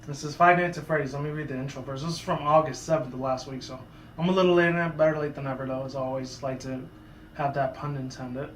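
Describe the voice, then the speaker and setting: Man, hoarse sore voice, casual rambling commentary, lots of asides, echoey room. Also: mid-range voice, steady tone, fast, a little hiss or hum. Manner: rambling, full of asides and restarts. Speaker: YouTuber.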